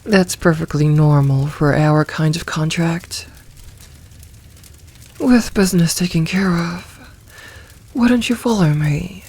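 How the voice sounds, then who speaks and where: Slow, teasing succubus demoness, played by a woman. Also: low-pitched, darkish tone, breathy edge, full character voice. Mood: sly, inviting. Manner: slow, soothing, coaxing, teasing. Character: possessive, teasing female succubus demoness, soft dominant. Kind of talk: ASMR audio roleplay.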